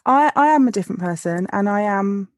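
A woman's voice: mid-range voice, animated, a little fast, no room sound. Candid woman in her thirties, dry mic. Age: 30s. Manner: candid, frank, wry. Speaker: podcast co-host. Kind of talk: casual heartfelt conversation.

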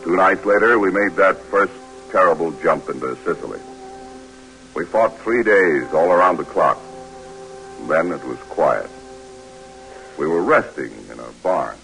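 An actor playing a steady paratroop lieutenant, narrating his war memories admiringly in a 1940s radio drama. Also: sits low, unhurried, long pauses, faint music behind, full character voice, low-quality recording. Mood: reflective, grave. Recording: old radio recording.

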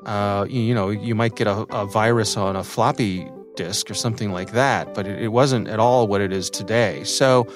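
A man's voice: lowish voice, steady tone, normal speed, hardly any pauses, music in the background. A middle-aged man with an American accent, a podcast host talking through his own story in a conversational, thoughtful way. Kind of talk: reflective personal storytelling for a podcast.

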